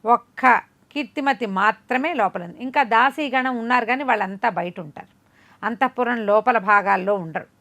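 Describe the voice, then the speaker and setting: Female storyteller, higher-pitched voice, chatty and animated folk-tale delivery. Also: moderate pace. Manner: conversational, explanatory asides, acts out dialogue.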